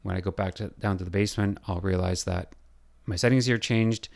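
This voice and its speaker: A man with a hoarse, scratchy voice, losing his voice, talking through a software design tutorial and thinking aloud with lots of pauses and fillers. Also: low-pitched, fairly even intonation. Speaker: building designer.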